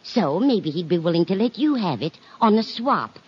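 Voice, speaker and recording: An actress playing a kindly, scatterbrained older landlady in a 1950s radio sitcom, with a husky, earnest, chatty voice. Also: on the low side, very animated, moderate pace, heavily acted. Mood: chatty, helpful. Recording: dull vintage 1950s radio recording.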